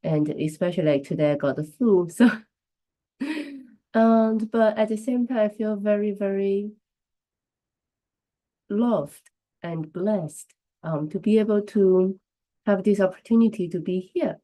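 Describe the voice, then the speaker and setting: A woman's voice: mid-range voice, measured pace, long pauses. Woman with a Chinese first language, flu-worn voice. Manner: chatty, warm greetings to viewers, restarts phrases. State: has the flu, voice strained. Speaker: livestream host. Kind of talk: casual live-stream talk to viewers.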